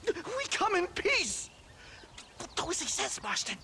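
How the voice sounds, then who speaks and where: A pompous frontier-era professor for a western game, in a high, swooping, creaky voice. He lectures with agitated self-importance and stumbles over his own words. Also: wildly swooping pitch, unhurried, very noisy, heavily acted. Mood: nervous, pleading. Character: excitable, pompous, bigoted Yale-educated professor in the 1911 American West. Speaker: voice actor. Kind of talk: dramatic game cutscene acting.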